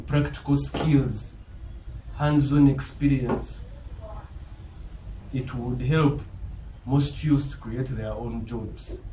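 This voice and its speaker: A man with a Ugandan accent giving a formal, earnest, deliberate self-introduction as a business school applicant. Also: mid-range voice, mellow. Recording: roomy, distant mic, faint background noise.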